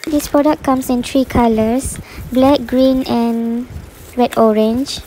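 A woman from Malaysia narrating a casual product unboxing in a plain, unhurried way, naming each item one by one. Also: a little high, steady tone, warm tone, a little hiss or hum, rough recording. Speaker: unboxing reviewer. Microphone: voice-over, speaker not seen.

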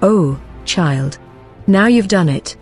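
A woman's voice: mid-range voice, animated, slow pace, darkish tone. Woman playing a knowing, admonishing cove guardian. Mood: ominous, admonishing. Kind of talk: motion comic voice acting. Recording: music clearly under the voice.

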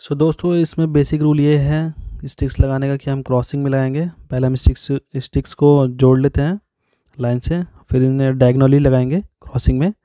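A man in his thirties from India, a camping vlogger who chats casually while showing each step of pitching a tent on an open hillside. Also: level tone, a little fast, warm tone, no room sound, studio quality. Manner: chatty, repeats "so doston", shows each step. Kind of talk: casual how-to vlog. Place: open hillside meadow outdoors.

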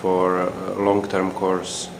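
A man interviewing a guest, asking plain, measured questions. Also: sits low, completely flat delivery. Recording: roomy sound with background hum.

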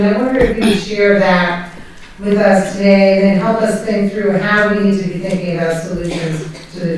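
A woman moderates a panel in a measured, reflective voice of middle pitch. She sounds far from the mic, and the big lecture hall rings heavily around her. A faint noise runs underneath.

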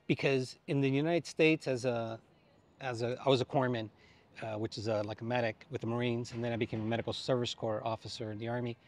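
A man's voice: medium pitch, steady tone, quick pace. A man in his late fifties, a pilgrim answering interview questions in a rambling, self-correcting way, recorded outdoors on a lapel mic. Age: 50s. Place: outdoors in a sunny old town square.

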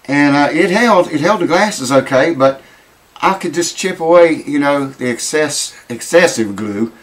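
A man, hoarse and losing his voice, chatting casually to camera in a small home workshop. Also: a little high, expressive, measured pace. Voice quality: raspy. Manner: rambling, folksy, swears casually. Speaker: guitar repair vlogger. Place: small cluttered home workshop with mounted animal heads, indoors.

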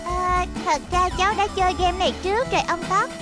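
A sweet, eager cartoon little girl. Her voice is extremely high and earnest, with clear music playing behind it. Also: fairly even intonation, fairly quick. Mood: polite. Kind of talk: Vietnamese cartoon dub.

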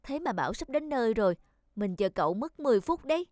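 Woman acting a young cartoon lady, high voice, blunt and fed up, scolding her friend. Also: sing-song, fast talker, darkish tone, no room sound, studio quality. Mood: annoyed. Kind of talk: animated cartoon dubbing.

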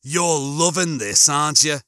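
Man as sulky storybook bloke, indignant, blunt, complaining, raised pitch, sharp forceful tone. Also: a little slow, no room sound, clean studio sound. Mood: accusing. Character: aggrieved, sulky working-class man facing his death.

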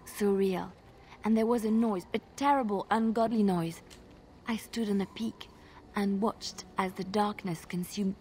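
An actress plays a young female archer of deep faith in a fantasy video game. She speaks in an earnest, hushed and dramatic manner, hopeful at heart. Some faint ambient noise sits behind her mid-pitched voice. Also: lightly acted. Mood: haunted, solemn.

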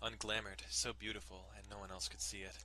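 Guy narrating a visual novel dramatically, lowish crisp forceful voice, soft music behind. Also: level tone, a little fast, poor audio. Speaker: dramatic reader.

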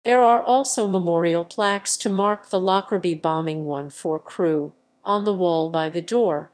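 Even synthetic woman reading an article. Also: fairly low pitch, normal speed. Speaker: narrator. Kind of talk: text-to-speech article reading.

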